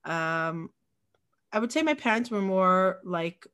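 A woman's voice: mid-range voice, expressive, takes it slowly, fairly bright tone, clear, resonant tone. A woman in her forties who grew up in Israel speaks as an interview guest. Her talk is reflective and casual, full of small restarts as she gathers her thoughts. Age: 40s. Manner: reflective, with frequent restarts and fillers. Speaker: podcast guest, community founder.

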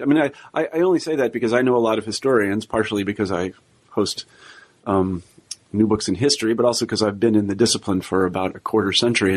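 Hesitant male podcast host. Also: fairly low pitch. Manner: hesitant, restarts with fillers. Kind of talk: interview.

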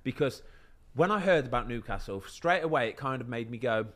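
A man's voice: mid-range voice, animated, brisk, a bit bright. Guy late 30s telling a story on a podcast, chatty, keeps checking you're following. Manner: chatty storytelling, frequent "do you know what I mean". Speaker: podcast host and footballer. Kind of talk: casual podcast chat.

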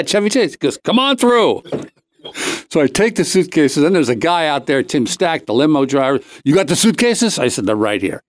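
A man's voice: a little high, dry recording, clean studio sound. A man hosting a talk show, telling a comic anecdote in a casual, rambling way, cutting himself off and acting out the dialogue. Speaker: show host.

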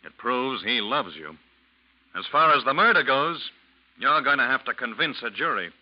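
An actor playing a hard, sardonic interrogator who presses with clipped accusations, in 1940s American radio drama style on a muffled recording. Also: male voice, medium pitch, very animated, moderate pace. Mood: cold, hard.

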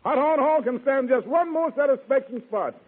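A man's voice: very high-pitched, lively intonation, normal speed, narrow, tinny audio. An American man hosting a 1940s radio show in showy, rhyming hep-cat slang on a vintage broadcast recording. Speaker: radio show host and announcer. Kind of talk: 1940s radio jive-talk emceeing.

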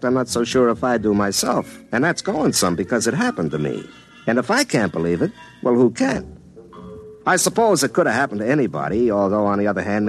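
A folksy young telephone lineman in a vintage radio comedy. He rambles and jokes in everyday slang, his pitch moving up and down in a lively way. Faint music sits behind the old recording. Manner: rambling, colloquial, joking. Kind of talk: 1950s radio comedy acting.

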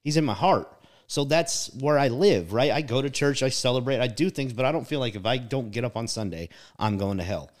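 A man's voice: medium pitch, animated, a little fast, hardly any pauses. A man co-hosting a casual podcast conversation, talking in a reflective, emphatic way and leaning on "like" and "right?" to drive his points home.

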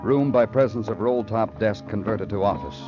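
An actor playing a brooding, poetic hard-boiled police detective in a measured, solemn radio crime drama style. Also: male voice, lowish voice, close to an everyday voice. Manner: measured, solemn, literary delivery. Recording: dull old radio recording with music.

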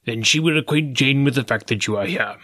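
A man's voice: mid-range voice, fairly quick, fairly bright tone, no room sound, studio quality, close to an everyday voice. A man voicing a fussy, courteous, anxious old professor in an audiobook story. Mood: courteous, resigned. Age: older.

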